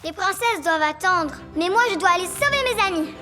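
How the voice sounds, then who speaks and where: A little girl elephant from a children's cartoon with a tiny, extremely high voice. She is eager, adventurous and expressive, speaking over prominent music. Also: fairly quick. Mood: determined. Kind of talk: French children's cartoon acting.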